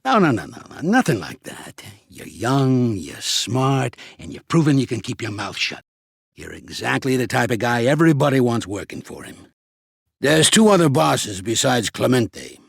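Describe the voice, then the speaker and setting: An actor voicing a shrewd, gruff old American mobster for a video game, streetwise and blunt, with a gravelly, husky voice. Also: male voice, mid-range voice, big pitch swings, normal speed, no room sound, studio quality, exaggerated voice. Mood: confiding, measured. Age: older. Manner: streetwise, commanding, blunt, slangy. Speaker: mobster mentor and boxing coach. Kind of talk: video game character acting.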